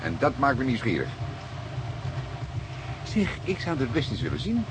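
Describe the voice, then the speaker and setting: A man's voice: medium pitch, huge pitch swings, normal speed. An actor playing a seasoned middle-aged police detective in a radio play, teasing his partner in a wry, fatherly way. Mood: amused. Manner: wry, avuncular, chuckling banter. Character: seasoned older male police detective, teasing, paternal, unhurried. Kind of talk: naturalistic radio play acting. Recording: radio play with clear background noise.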